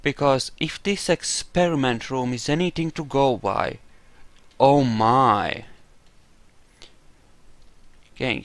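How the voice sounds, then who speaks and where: A man's voice: mid-range voice, no room sound. A man giving casual roleplay commentary as he plays a video game, muttering to himself with playful exclamations. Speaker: gamer, let's play host.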